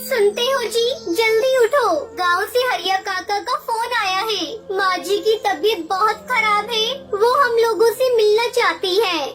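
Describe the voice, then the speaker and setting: A woman acts out a devoted young female bird in a cartoon. The voice is extremely high, earnest and pleading. Loud music plays behind the tender-hearted character. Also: a little slow, few pauses. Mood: anxious.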